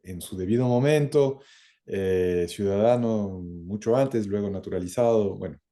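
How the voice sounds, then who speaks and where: A middle-aged man from France and Ecuador giving reflective interview answers, halting as he searches for words. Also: fairly low pitch, mellow. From born in France, lived some twenty years in Ecuador. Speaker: interview guest, academic and former government minister.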